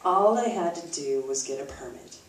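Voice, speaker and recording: A woman's voice: deep voice, expressive, moderate pace. A woman narrating a puppet show in a scripted, storybook style with a wry manner. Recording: roomy, distant microphone, faint noise.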